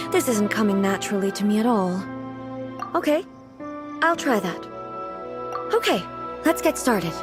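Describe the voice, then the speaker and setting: A woman voicing a dignified, earnest goddess ruler in a video game, speaking in a formal, measured and thoughtful way. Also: mid-range voice, expressive, music in the background. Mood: puzzled, self-conscious. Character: dignified, earnest goddess ruler, naive about modern life.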